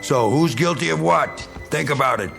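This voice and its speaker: An actor playing a bitter, bigoted, self-pitying middle-aged American war veteran in a radio drama, with blustering, needling, slangy tough talk. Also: male voice, a little high, normal speed, gravelly, music in the background. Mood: defensive. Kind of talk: dramatic radio play acting.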